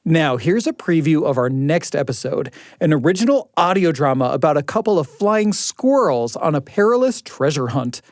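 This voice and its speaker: Slightly high-voiced man hosting a podcast. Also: very animated, normal speed, hardly any pauses, no room sound. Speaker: podcast host. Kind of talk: scripted hosting with casual discussion. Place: dry studio. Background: light music.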